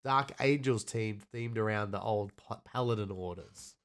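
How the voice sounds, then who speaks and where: A man's voice: lowish voice, normal speed, fairly bright tone. A man with a blocked nose co-hosting a podcast, chatting casually, laughing easily and reading out questions from the chat. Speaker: podcast co-host.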